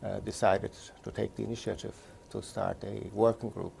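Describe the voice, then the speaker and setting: Man, 60s, from Turkey; low gravelly voice, measured interview answers, faint room hum. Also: level tone, a little mellow. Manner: measured, recounting career step by step. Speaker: interviewee, neurologist. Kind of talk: oral history interview.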